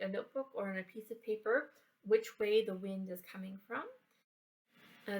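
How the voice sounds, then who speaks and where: A woman giving a casual how-to science and craft demonstration for children, explaining in a halting way and checking in as she goes. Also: medium pitch, recorded from a distance, low-quality recording. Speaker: library children's program presenter. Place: indoors, in a library building.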